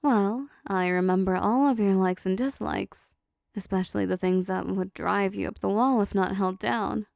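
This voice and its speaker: A woman voicing a possessive, seductive female demon in an intimate audio roleplay, softly teasing, dominant and doting. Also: medium pitch, moderate pace, gravelly, no echo, studio quality, natural voice. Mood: flirty, coaxing.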